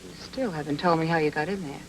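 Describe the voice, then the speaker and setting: Cool, teasing femme fatale. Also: female voice, low-pitched, quick pace, vocal fry, a little hiss or hum, rough recording. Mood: sly, mocking. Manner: sly, flirtatious, clipped banter. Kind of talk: film noir radio drama acting.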